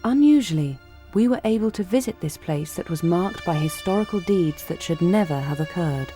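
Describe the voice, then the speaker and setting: Woman narrating a documentary in a mellow voice. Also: fairly low pitch, animated, moderate pace, faint music behind.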